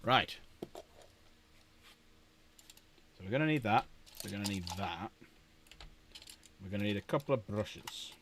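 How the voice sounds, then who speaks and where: A man's voice: on the low side, expressive, measured pace, long pauses, fairly bright tone, a little hiss or hum. A man from England chatting casually on a live stream while painting miniatures, laughing and swearing now and then.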